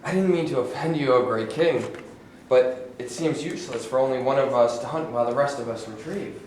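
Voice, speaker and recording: A man's voice: medium pitch, a little fast, a little mellow, slight background noise, close to an everyday voice. A man reading the part of a cautious, deferential caveman priest in a staged play reading, polite and careful. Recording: echoey room, mic far off.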